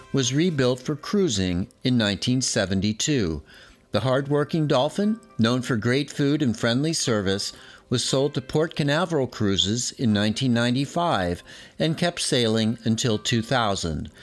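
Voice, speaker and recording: A man in his sixties reading a scripted voice-over in a measured, informative way. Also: mid-range voice. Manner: measured, informative, fond of the subject. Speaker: documentary narrator.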